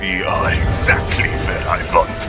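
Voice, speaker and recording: An actor playing a crusty, raging cyborg villain in a video game, with a gravelly voice and a Russian accent. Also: male voice, low-pitched, little pitch movement, a little slow. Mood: gloating. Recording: very loud music behind.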